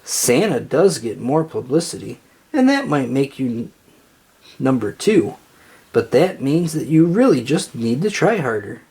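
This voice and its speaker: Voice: mid-range voice, sing-song, a little slow, warm tone, breathy edge, full character voice. A storybook reader playing an earnest young boy who explains things with devotion.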